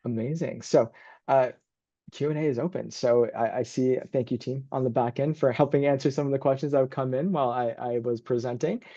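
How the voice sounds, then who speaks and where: A man's voice: mid-range voice, steady tone, brisk, mellow, dry recording, highs cut off. A man working as a university admissions advisor, hosting and presenting an informal online information session.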